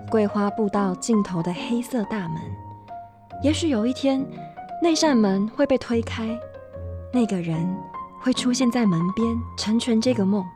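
A woman narrating a radio drama as a reflective storyteller, measured and literary. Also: fairly high pitch, close to an everyday voice. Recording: music behind the voice.

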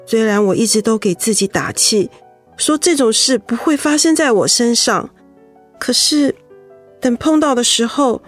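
An actress in a Mandarin radio drama playing a vulnerable young wife, earnest, emotional and confiding. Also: female voice, a little high, expressive, normal speed, soft background music. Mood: anxious, tearful. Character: vulnerable young wife holding back tears after illness.

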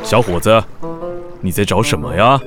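A man's voice: fairly low pitch, expressive, normal speed, over music, natural voice. Man playing a stern, swooping uncle conch. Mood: gruff. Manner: blunt, fault-finding, sighing. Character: stern, critical older uncle conch, unsmiling sea snail. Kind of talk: children's audio drama acting.

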